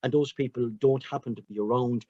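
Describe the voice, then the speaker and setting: An older man on a dull, dry video-call line, his voice husky and mellow. He talks quickly and earnestly, stumbling and restarting as he works through his point. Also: mid-range voice, steady tone, dry recording. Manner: earnest, halting, with repeated restarts. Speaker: panel speaker. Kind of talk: panel answer.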